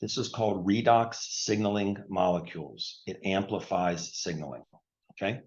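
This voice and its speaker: A man in his sixties with a lowish voice, walking listeners through a health product step by step. He clears his throat often and repeats points in plain terms. The recording sounds dull and lo-fi. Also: normal speed, a little mellow. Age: 60s. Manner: plain explanatory talk with frequent throat clearing. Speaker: retired surgeon presenting a health product. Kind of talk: explanatory product presentation.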